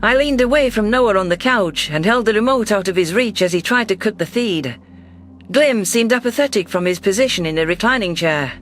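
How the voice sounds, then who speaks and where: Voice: medium pitch, expressive, brisk, fairly bright tone, faint music behind. A narrator reading a first-person science fiction story in a scripted, audiobook style.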